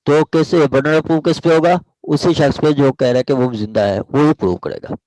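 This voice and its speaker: A man's voice: a little high, moderate pace, a little mellow, no room sound, low-quality recording. A man with a Pakistani accent lecturing on law in an online class, repeating and rephrasing points and often checking that he is followed. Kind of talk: online law lecture, explaining statute articles. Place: indoors, online meeting.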